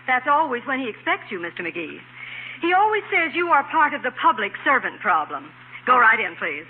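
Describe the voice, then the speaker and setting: An actress playing a polite, efficient secretary in a radio comedy, prim and courteous. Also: female voice, fairly high pitch, very animated, fairly quick. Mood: polite, brisk. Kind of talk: 1940s radio comedy acting. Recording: noisy, tinny old radio recording.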